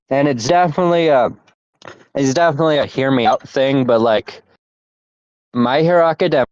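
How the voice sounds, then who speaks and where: A man's voice: mid-range voice, clean tone, no echo. A young man in casual chat as podcast co-host, rambling with restarts and laughter. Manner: rambling, laughing, self-interrupting. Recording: dull lo-fi mic.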